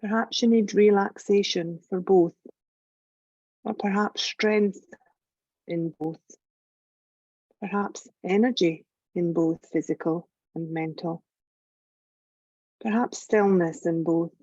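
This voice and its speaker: A woman leading a guided yoga nidra meditation in a gentle, calm, measured voice with long pauses. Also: lowish voice, no room sound, highs cut off. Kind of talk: slow guided relaxation meditation narration.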